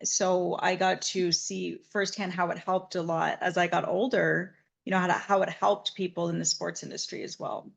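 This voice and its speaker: A woman's voice: on the low side, flat delivery, moderate pace, vocal fry, hardly any pauses. A woman from Southern Nevada, a massage therapist, telling her story in an interview in an earnest, reflective way.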